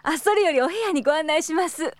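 Kyoto innkeeper, courteous and attentive, high register, Kyoto accent, audio drama. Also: female voice, animated, fast, a little hiss or hum. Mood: courteous, welcoming. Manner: polite, soft traditional Kyoto phrasing. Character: gracious, attentive Kyoto inn proprietress.

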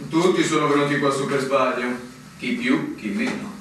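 An actor playing a gloomy, resigned veteran of a remote fortress in a stage drama, brooding and declamatory. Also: male voice, mid-range voice, moderate pace, lightly acted. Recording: echoey hall, distant mic, loud background noise.

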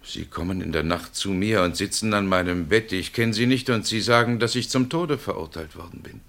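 An actor playing a frightened man woken at night in a radio play, speaking quietly, deliberately and clearly with a gravelly voice. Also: low voice. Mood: hushed dread. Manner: slow, deliberate, apprehensive. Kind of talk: 1960 German radio play acting.

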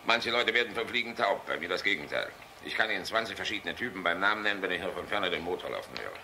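An actor in a German radio play voicing a jovial, irreverent, sharp-tongued middle-aged air force general. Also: male voice, deep voice, lively intonation, fast, slight background noise, exaggerated voice. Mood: suspicious. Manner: jovial, sardonic, blunt.

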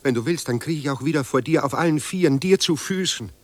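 An actor in a German radio drama playing a sardonic, melancholy writer who coaxes and wheedles with irony. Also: male voice, fairly high pitch, quick pace, dry recording, lightly acted. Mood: desperate, pleading. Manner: coaxing, ironic, wheedling. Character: sardonic, pleading man, a hard-drinking melancholy writer.

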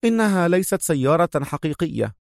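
A man's voice: a little high, sing-song, brisk, creaky, no echo, pitched above the performer's own voice. A defiant woman agent with a raised, pointed voice and a curt, mocking edge, as a male narrator puts her on. Heard in a dry audiobook recording. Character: defiant tough woman agent, sharp-tongued. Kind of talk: audiobook character voice.

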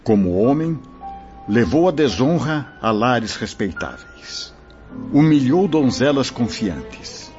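An actor playing a wise, solemn spiritual mentor who teaches formally in a radio drama. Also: male voice, mid-range voice, big pitch swings, slow pace, a little mellow. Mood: grave explaining. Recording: dull lo-fi audio, music behind. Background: music.

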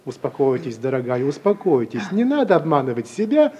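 An actor playing an earnest, idealistic young student in old Russian stage style, emphatic and lofty. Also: male voice, mid-range voice, big pitch swings, a little fast, a little mellow, close to an everyday voice. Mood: blunt, urging.

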